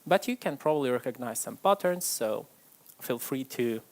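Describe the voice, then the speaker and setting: A man's voice: mid-range voice, lively intonation, moderate pace. A man from Ukraine, giving a chatty, self-deprecating tech conference talk on stage to a live audience. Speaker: conference speaker.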